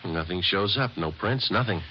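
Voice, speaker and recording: A police detective in an old radio crime drama. His voice is low and his delivery is dry, clipped and understated. The recording is dull and clearly noisy. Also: lively intonation, normal speed, pushed and bright. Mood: matter-of-fact. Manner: clipped, matter-of-fact, understated. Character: terse, dry police detective sergeant, narrating his case. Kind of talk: 1940s-50s radio crime drama acting.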